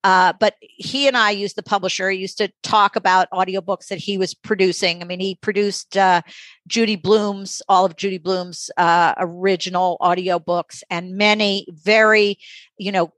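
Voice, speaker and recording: A mature woman speaking as an interview guest, thoughtful and conversational, halting and reflective as she pauses mid-sentence. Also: mid-range voice, fairly even intonation, a bit bright, dry recording. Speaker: interview guest, audiobook magazine editor.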